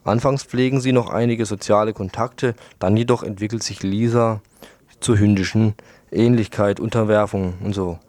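A man's voice: fairly low pitch, steady tone, normal speed, a little mellow, no room sound. A man reading a scripted book review on the radio in a wordy, literary manner.

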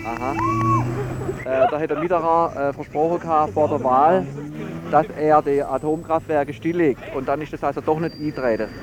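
A man's voice: a little high, steady tone, moderate pace. A man speaking as a spokesman for an environmental group, interviewed outdoors on a bridge. He talks hesitantly, with many filler sounds. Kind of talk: interview at a protest. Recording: dull field recording with loud traffic.

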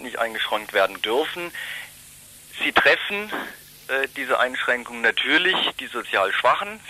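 Bright, forceful-voiced man, political interviewee. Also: mid-range voice, low-quality recording. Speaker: local political list representative interviewed. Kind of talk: spoken political statement in interview.

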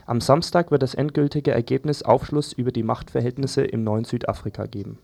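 A man's voice: mid-range voice, fairly even intonation, brisk, creaky, dark tone. A man reading a scripted news overview as a presenter.

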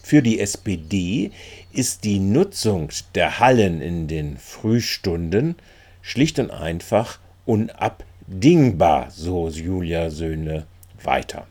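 Slow-paced, low-voiced man, wry reporter. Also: sing-song, darkish tone. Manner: wry, sardonic. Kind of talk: scripted, opinionated local news narration.

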